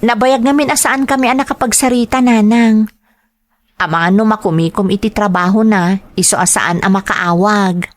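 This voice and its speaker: A woman's voice: mid-range voice, moderate pace, natural voice. A woman playing a devoted, hopeful grown daughter with an Ilocano accent in a family audio drama.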